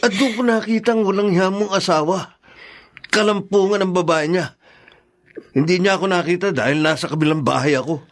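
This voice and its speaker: A man's voice: high-pitched, brisk, natural voice. A man playing an angry protective brother. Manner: heated, indignant. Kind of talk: radio drama acting.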